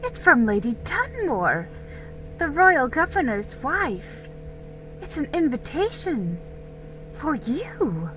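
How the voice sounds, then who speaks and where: A woman voicing a kindly, busy colonial mother in a storybook, warm, exclaiming and gently bossy. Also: high voice, huge pitch swings, slow, faint music behind, voice pushed up, exaggerated voice, rough recording. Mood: delighted surprise.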